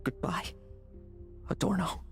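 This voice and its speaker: A man's voice: slow, natural voice. A man playing a cool, brooding young knight vice captain in a video game, with a clipped, sardonic manner. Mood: cold, stern. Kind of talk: dramatic game voice acting. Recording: music behind the voice.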